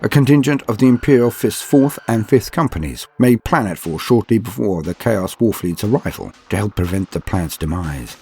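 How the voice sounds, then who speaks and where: A man reading scripted dark fantasy lore as a narrator, in a grave, dramatic and measured way. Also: on the low side, vocal fry.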